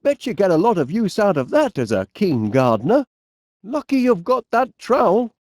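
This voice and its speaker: A man's voice: sits high, wildly swooping pitch, moderate pace, darkish tone, dry recording, studio quality, heavily acted. A man playing a cheerful, bumbling middle-aged inventor in a comedy game, with a Northern English accent and a politely flustered, hesitant manner. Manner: hesitant, stammering politeness with quaint exclamations. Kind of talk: animated comedy game voice acting.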